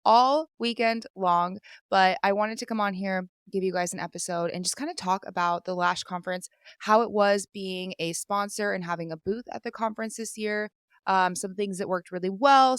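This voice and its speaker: A woman's voice: mid-range voice, clear, resonant tone, no room sound, clean studio sound. A woman from Washington state hosting her own podcast, chatting casually and rambling with lots of filler words from a hotel room. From Vancouver, Washington, USA. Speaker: podcast host. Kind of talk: casual solo podcast recap.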